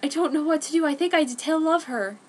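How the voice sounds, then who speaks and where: A woman's voice: high voice, a little fast, a little hiss or hum, voice pushed up, close to an everyday voice. A woman voicing a wounded, anguished young man in a melodramatic story reading. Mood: distressed.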